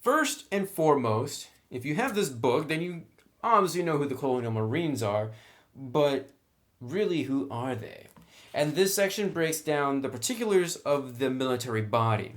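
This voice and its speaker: A man's voice: mid-range voice, animated, normal speed. A man giving a casual, conversational review narration as a reviewer of tabletop game books.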